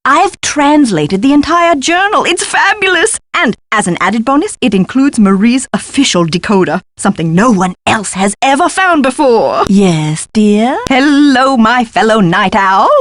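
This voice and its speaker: A woman's voice: mid-range voice, expressive, measured pace, few pauses, no room sound, close to an everyday voice. An actress playing an eccentric, fussy woman scholar in an adventure game, with gushing, pompous, theatrical delivery and precise diction. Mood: chirpy, excited. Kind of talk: scripted video game dialogue.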